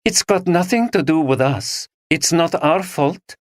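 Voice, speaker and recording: A man voicing a calm, blunt female supervisor with an East European accent. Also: low voice, a little slow, dry recording, clean studio sound, voice pushed up. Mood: curt.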